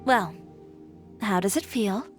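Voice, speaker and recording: A young female fashion designer from a video game. She is assertive and composed, with a mid-pitched voice and a wry, confiding delivery. Music plays softly behind her. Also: lively intonation, takes it slowly.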